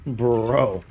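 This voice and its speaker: A man's voice: mid-range voice, a little mellow, slightly rough. A man giving casual, slangy live commentary as he streams video games. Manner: informal, slangy bro and dude talk. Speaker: game streamer.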